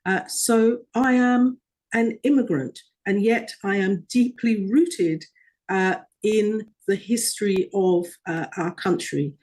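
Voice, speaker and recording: A woman from Guyana answering interview questions in a measured way, pausing often on "uh". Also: mid-range voice, a little mellow. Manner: measured, with frequent hesitations. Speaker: interview guest.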